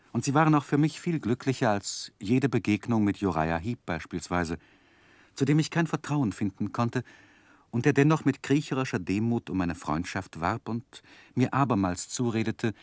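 A man acting as a reflective narrator recalling his boyhood in a radio play, with measured, expressive literary storytelling. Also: fairly low pitch, no room sound. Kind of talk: scripted narration, 1960s German radio play.

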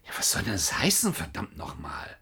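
An actor playing an exasperated, probing husband in a radio drama, with a rough, husky voice. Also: male voice, deep voice, wildly swooping pitch, fairly quick, pushed and bright, exaggerated voice.

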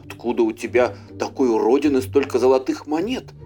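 A man's voice: mid-range voice, a little fast, warm tone, faint music behind, natural voice. Man acting a blunt, scornful young traveller. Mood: suspicious. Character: young male traveller, mocking, suspicious, proudly refusing.

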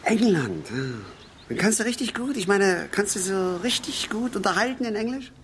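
An actor in a naturalistic German radio drama playing a boastful, dreamy working-class drinker who rambles and insists. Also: male voice, high-pitched, animated, normal speed, a bit bright, a little hiss or hum. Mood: wistful, dreamy. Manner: rambling, insistent, repeats himself.

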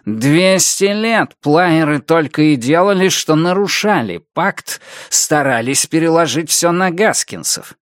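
Audiobook villain, acted by a male narrator: small vengeful fanatic, pitched up, drawling out menacing threats, dry close sound. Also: expressive, a bit bright, dry recording. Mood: anguished threat. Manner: slow, drawn-out, threatening delivery.

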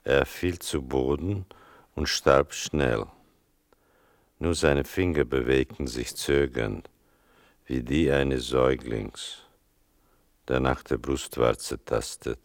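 An actor playing a grave son who narrates his mother's wartime story in a deliberate, restrained radio-play style. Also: very deep voice, no room sound, studio quality, full character voice. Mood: sombre, measured. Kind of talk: slow, measured literary narration in a radio play.

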